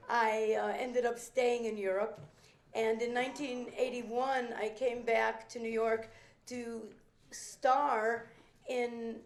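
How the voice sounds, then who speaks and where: An older woman from a working-class Italian immigrant family, a veteran performer telling a rambling, animated story with restarts and acted-out quotes at a theater benefit. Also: on the high side. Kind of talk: anecdotal storytelling speech. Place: event room at a private club. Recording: roomy echoing event hall.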